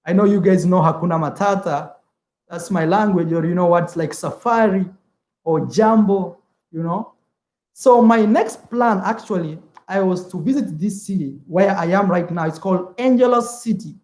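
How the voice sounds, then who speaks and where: A man's voice: high-pitched, moderate pace, a little mellow, reverberant. A young man from East Africa whose first language is Swahili, chatting casually on a travel livestream, rambling, repeating words and calling the viewer "man". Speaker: travel vlogger.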